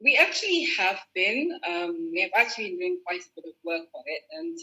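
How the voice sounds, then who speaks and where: A woman's voice: mid-range voice, very animated, a little fast, sharp tone, low-quality recording. A woman fashion designer, a guest in a video-call interview, explaining her work thoughtfully with restarts and fillers. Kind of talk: relaxed conversational interview.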